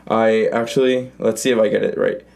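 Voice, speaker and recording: A young podcast host asks his guest friendly, rambling questions. His voice is rather low and full of vocal fry. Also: flat delivery, fairly quick, a little mellow. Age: young adult. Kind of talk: casual interview.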